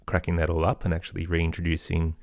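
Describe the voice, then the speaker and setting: A man with an Australian accent, talking as an interview guest in an agreeable, conversational way with softened phrasing. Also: low voice, monotone, fast, dry recording, studio quality. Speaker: podcast guest, musician and composer.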